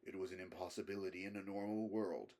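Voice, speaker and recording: Grave learned man, male reader in character, very deep, flat intonation, solemn careful delivery, horror storybook. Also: fast talker. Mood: grave, measured.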